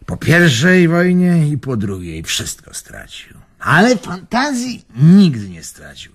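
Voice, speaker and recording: A nostalgic old man looks back on vanished friends in a radio play. His voice is gravelly and swoops widely in pitch. He takes his time with each memory. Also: wildly swooping pitch, takes it slowly, full character voice. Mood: animated nostalgia. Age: older. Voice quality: husky, creaky. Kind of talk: radio drama acting.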